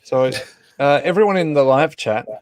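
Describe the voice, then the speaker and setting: A man's voice: a little high, animated, normal speed, no room sound. A man hosting a casual live group chat, speaking informally. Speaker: host of a live stream table read.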